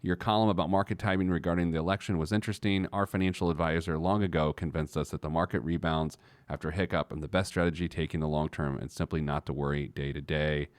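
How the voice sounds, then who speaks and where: Man, low pitch, dry studio sound, casual finance host, self-deprecating, digressive, repeats for emphasis. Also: steady tone, fast, no echo. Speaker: personal finance radio show host. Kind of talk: casual talk show monologue with some letter reading.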